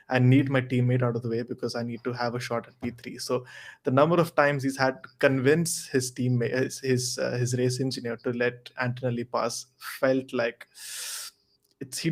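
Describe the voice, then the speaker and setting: A man giving casual motorsport analysis as a podcast panelist, earnest and repeating phrases as he reasons. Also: mid-range voice.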